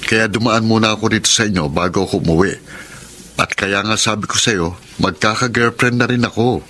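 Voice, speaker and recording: Here is a self-assured young man courting in a romantic radio drama. His voice is fairly low and smooth-talking, with faint noise in the background. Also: a little fast, pushed and bright. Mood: confident. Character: Lawrence, confident smooth-talking young suitor.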